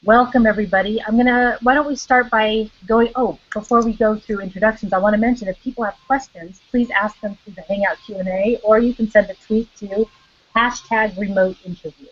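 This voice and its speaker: A woman's voice: mid-range voice, steady tone, a little fast, highs cut off. Upbeat woman hosting a video call. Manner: upbeat, eager. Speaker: interview host.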